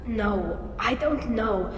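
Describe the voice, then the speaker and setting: A woman's voice: fairly high pitch, animated, drawn-out words, a little hiss or hum. A woman voicing an earnest young female alien engineer in a video game, through a helmet speaker filter. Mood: thoughtful. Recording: echoing game audio under loud music.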